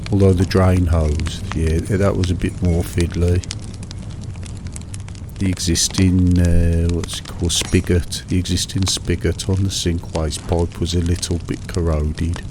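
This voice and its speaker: A middle-aged British man in a monotonous, soothing one-sided chat, hesitant and rambling, repeating himself over mundane small details. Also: sits low, vocal fry. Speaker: host of a sleep relaxation show. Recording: steady background noise, fireside crackle.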